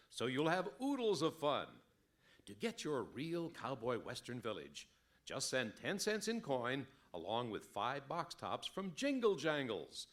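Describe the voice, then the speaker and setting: An actor playing a peppy, hard-selling announcer in an old-time radio cereal commercial. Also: male voice, medium pitch, a bit bright. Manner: peppy, sloganeering.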